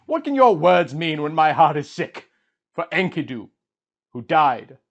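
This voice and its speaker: A proud warrior king played by a male narrator laments with raw, impassioned grief. His fairly high male voice declaims like an epic storybook hero. The recording is a little dull. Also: big pitch swings, a little slow. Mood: defiant grief.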